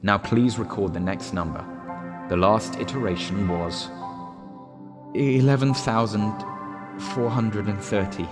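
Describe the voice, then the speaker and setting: An actor playing a disembodied recorded guide in a one-act drama, speaking in a measured, deliberate, persuasive way. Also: male voice, fairly low pitch, natural voice. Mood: calm, formal. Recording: long hall reverb, loud music behind.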